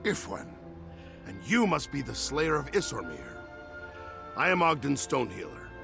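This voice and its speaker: A man's voice: mid-range voice, wildly swooping pitch, moderate pace, gravelly. A man voicing an old, hearty dwarf scholar in a fantasy video game, theatrical and emphatic. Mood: hearty, grateful. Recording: music behind the voice.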